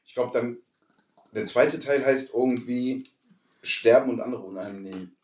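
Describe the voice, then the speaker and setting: A man's voice: mid-range voice, measured pace, darkish tone, far from the mic. A man chatting informally as a podcast co-host, in a wry, everyday way. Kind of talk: casual chat. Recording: roomy echo, off the mic.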